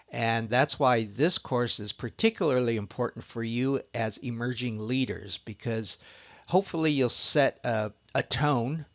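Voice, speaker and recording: Middle-aged guy from southern california introducing his class, earnest, lots of uh pauses, slight fry in the voice. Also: mid-range voice, fairly bright tone, dull audio. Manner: measured, earnest, with frequent "uh" pauses. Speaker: course instructor. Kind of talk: recorded course introduction lecture.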